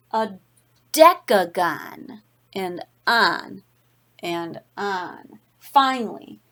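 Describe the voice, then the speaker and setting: A woman reading a children's picture book aloud as a teacher, with deliberate, expressive emphasis. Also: on the high side. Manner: deliberate, expressive, dramatic emphasis for young listeners. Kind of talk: read-aloud children's storytelling.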